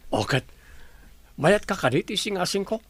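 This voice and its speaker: A man's voice: sits high, animated, moderate pace, dry recording. A man voicing a jealous, short-tempered young businessman with an Ilocano accent. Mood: scheming. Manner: short-tempered, scolds his servant.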